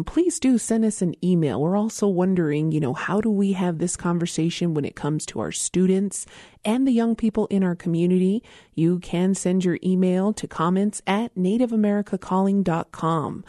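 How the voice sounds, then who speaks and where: Calm woman radio host taking calls, low mellow voice, dry studio sound. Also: dry recording. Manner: calm, welcoming, takes calls smoothly. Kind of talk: hosting a call-in radio show.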